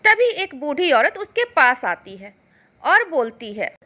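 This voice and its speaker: A woman's voice: high voice, very animated, measured pace, sharp tone. A woman narrating a scripted moral storybook tale as the storyteller.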